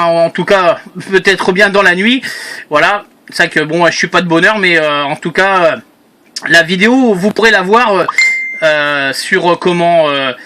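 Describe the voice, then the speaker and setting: High, bright-voiced Frenchman rambling on radio. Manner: rambling, repetitive, trailing hesitations. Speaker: amateur radio operator and video maker. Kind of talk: casual radio contact chat.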